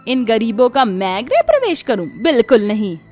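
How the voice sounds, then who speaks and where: Woman doing a mean bossy cartoon mother in law, scolding, highish, music behind. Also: wildly swooping pitch, moderate pace, a little mellow. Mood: scornful. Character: domineering, spiteful middle-aged mother-in-law obsessed with dowry. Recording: music under the voice.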